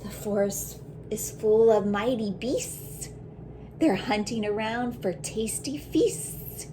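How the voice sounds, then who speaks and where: Storybook little girl, acted by a woman, imaginative, dramatic, emphatic, high pitch, noisy recording. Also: slow, warm tone. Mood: dramatic, brave. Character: imaginative brave little girl starting school.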